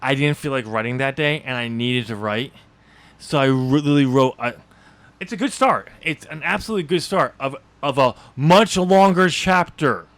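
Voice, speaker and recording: Halting man in casual podcast chat. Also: mid-range voice, fairly bright tone, dry recording. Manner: halting, self-correcting. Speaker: podcast co-host, author discussing own novel draft.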